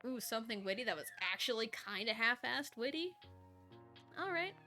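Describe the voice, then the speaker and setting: A woman with a bright, fairly high voice reads fanfiction aloud on a stream. She is expressive and playful, slipping between narration and chatty asides. Music plays behind her. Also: moderate pace. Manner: expressive, playful, giggly, reacts to the story. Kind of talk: dramatic story reading with chatty asides.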